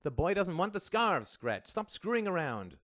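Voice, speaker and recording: A man's voice: high voice, very animated, brisk, no echo, clean studio sound, pitched above the performer's own voice. A man acting a blunt, impatient male boss who gives curt commands. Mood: commanding, irritated.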